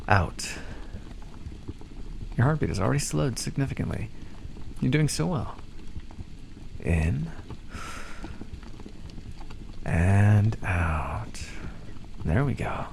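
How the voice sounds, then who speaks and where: A man playing a calm, condescending vampire captor in an ASMR roleplay, teasing and coaxing as he talks. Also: lowish voice, creaky, a little hiss or hum, exaggerated voice. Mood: soothing. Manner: teasing, patronizing, coaxing, hesitant stammers. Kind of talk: ASMR roleplay, scripted monologue.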